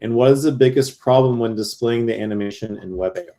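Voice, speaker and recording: A man's voice: mid-range voice, quick pace, dark tone. A man hosting an online creator showcase, upbeat and loose, thanking speakers and introducing the next one with many fillers. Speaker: cohort host and moderator. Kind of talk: casual live event hosting.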